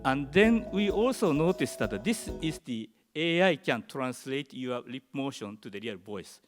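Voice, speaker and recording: A man with a Japanese accent giving a conference presentation in English, halting and searching for words. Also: mid-range voice, expressive, faint music behind. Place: large conference hall. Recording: long hall reverb.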